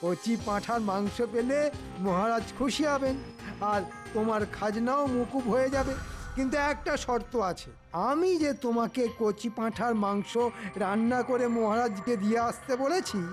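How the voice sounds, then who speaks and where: Wheedling, conniving royal minister. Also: male voice, extremely high pitch, normal speed. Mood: bossy, sly. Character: scheming, greedy royal minister, oily and self-pitying. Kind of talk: exaggerated comic cartoon acting. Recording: music behind the voice.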